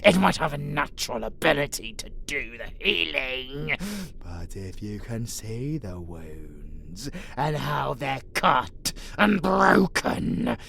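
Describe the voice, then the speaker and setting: A man playing a menacing vampire monster in a roleplay audio drama, predatory yet possessive, with a growling, theatrical delivery that swings between breathy whispers and roars. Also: medium pitch, a bit bright, heavily acted.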